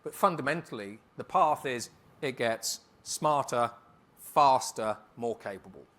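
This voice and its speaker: A man speaking as a keynote speaker, answering on stage in a conference hall in a brisk, emphatic way and rattling off lists. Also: medium pitch, lively intonation, a bit bright.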